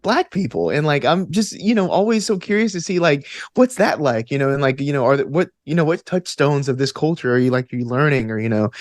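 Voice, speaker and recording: A man's voice: fairly high pitch, no echo. Enthusiastic man chatting casually, dry close sound. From American South. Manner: enthusiastic, informal, peppered with "like" and "you know". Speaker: podcast guest, actor and gamer. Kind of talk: casual interview chat.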